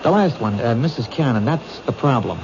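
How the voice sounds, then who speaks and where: A muffled old radio drama presents a man acting an earnest junior detective. His mid-pitched voice is very lively, pressing questions and reasoning aloud insistently. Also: fairly quick, close to an everyday voice. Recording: muffled old radio broadcast, faint noise.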